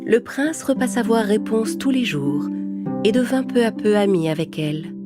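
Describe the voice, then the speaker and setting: A woman's voice: medium pitch, animated, moderate pace. A woman storyteller reading a scripted fairy tale for children. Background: music.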